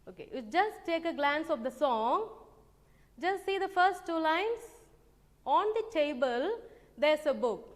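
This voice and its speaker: Woman teacher, very high voice, unhurried, reverberant room. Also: animated. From South India. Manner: slow, careful teacherly explaining, sings simple rhymes. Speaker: English teacher. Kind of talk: online grammar lesson for children.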